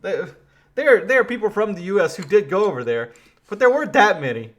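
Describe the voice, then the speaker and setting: Give me a man from Louisiana doing animated political commentary, voice on the higher side. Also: a little fast. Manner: animated, laughing, restarts.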